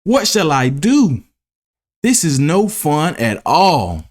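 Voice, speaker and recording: A man voicing a carefree, mocking grasshopper in a children's storybook, with a teasing, drawn-out delivery. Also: a little high, very animated, pitched above the performer's own voice, full character voice. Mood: pleading, miserable. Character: carefree, mocking young male grasshopper who loves play. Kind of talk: children's storybook read-aloud.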